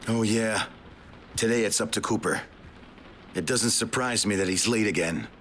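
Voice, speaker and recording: A man's voice: fairly low pitch, normal speed, fairly bright tone, a little hiss or hum, lightly acted. A man playing a weary, grumbling ranger in a video game, muttering in a naturalistic way. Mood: groggy, irritated.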